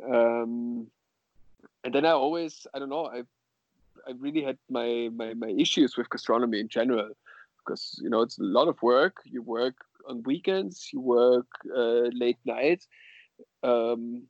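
A man with an Austrian accent and German as his native language talks casually as an interview guest. His phrasing is halting, with many pauses, and his mid-pitched voice comes through a dry, dull lo-fi connection. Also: dry recording. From western Austria. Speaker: guest, sommelier and wine bar co-owner.

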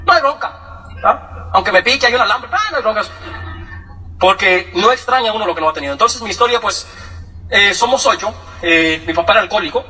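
A man's voice: high-pitched, fairly quick, far from the mic, low-quality recording. Joking Mexican man telling his story. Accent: Mexican Spanish. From Michoacán, Mexico. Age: young adult. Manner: joking, animated, acts out quoted voices. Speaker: speaker giving a testimony. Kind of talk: storytelling talk to an audience.

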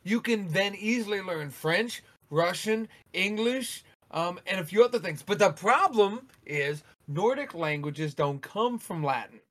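A man co-hosting a podcast, chatting casually, animated, talking over the others and repeating words when he gets excited. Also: high voice, a little slow, hardly any pauses. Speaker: podcast co-host. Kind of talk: casual chat.

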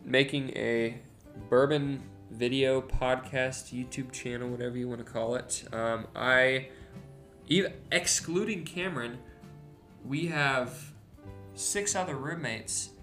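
A man's voice: mid-range voice, takes it slowly, fairly bright tone, soft background music. A man in his twenties co-hosting a casual podcast at home, rambling with lots of ums and self-corrections. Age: 20s. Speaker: podcast co-host. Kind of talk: casual chat. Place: house, indoors.

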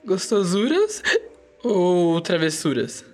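A woman's voice: on the low side, sing-song, takes it slowly, over loud music. A performer with a woman's voice playing a timid but eager young boy in a children's radio drama. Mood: hesitant.

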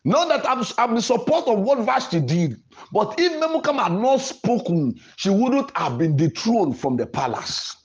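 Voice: medium pitch, big pitch swings, moderate pace, highs cut off. A preacher delivering a sermon in an emphatic, declarative way, repeating phrases for emphasis. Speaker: preacher and podcast host.

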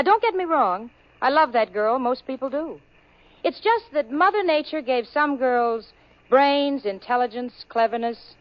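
A woman's voice: fairly high pitch, dry recording, close to an everyday voice. An actress playing Jane, a level-headed, sarcastic young woman, in a 1940s radio sitcom. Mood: wry narration. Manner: wry, sarcastic, quick with comebacks. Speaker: sitcom co-lead and narrator. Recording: muffled old radio broadcast with studio audience.

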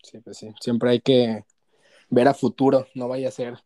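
A man's voice: mid-range voice, flat delivery, unhurried, dark tone, dry recording, clean studio sound. A young man with a Mexican accent in casual, joking podcast chat, playful and full of slang. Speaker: podcast co-host.

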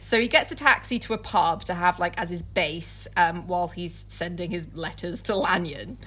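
A woman's voice: lowish voice, moderate pace, sharp tone. A woman podcast co-host mixing casual, comic chat with dramatic book readings, giggly, irreverent and free with swearing.

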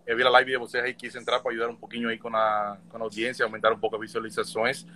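Bright-voiced Cuban man, hesitant. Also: mid-range voice, flat delivery, very fast, a little hiss or hum. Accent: Cuban Spanish. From Cuba. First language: Spanish. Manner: hesitant, searching for words. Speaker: guest digital influencer. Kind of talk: casual live chat.

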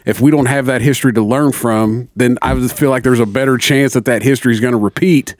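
A man in a casual co-hosted discussion, earnest and halting, circling back over his phrases as he reasons. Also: mid-range voice, steady tone, dry recording, clean studio sound.

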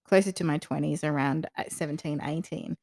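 A woman's voice: low voice, steady tone, brisk, a little mellow, dry recording, studio quality. A young woman who grew up in South Africa and lives in Australia, with an Australian accent that has South African traces, co-hosting a casual podcast chat and telling stories. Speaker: podcast co-host.

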